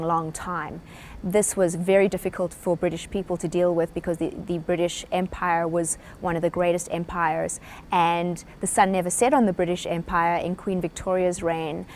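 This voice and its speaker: A woman with a South African accent speaks in a somewhat low voice, measured and earnest as she explains. A faint low rumble sits under the recording. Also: a little mellow. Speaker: presenter. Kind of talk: short talk to camera on leadership.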